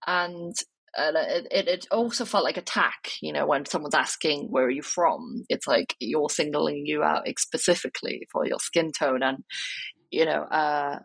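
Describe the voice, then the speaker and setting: Low husky woman speaking hesitantly. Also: expressive, moderate pace, a bit bright, no echo, low-quality recording. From Wales. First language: Cantonese. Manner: hesitant, many fillers and restarts. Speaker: interview guest. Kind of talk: reflective personal interview.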